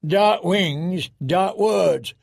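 A male narrator performs a red-faced wildling chieftain from a fantasy audiobook. He is loud, coarse and full of bluster. His voice sits high and has a gravelly edge. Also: very slow, full character voice. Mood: muttering. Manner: blustering, bawdy, roaring. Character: boisterous, crude, red-faced wildling warrior chieftain, laughing and roaring.

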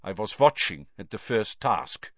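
A man voicing a gruff, wary young foreign athlete who speaks slowly and deliberately. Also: fairly low pitch, big pitch swings, no echo. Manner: slow, halting, deliberate speech. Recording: slightly dull lo-fi recording.

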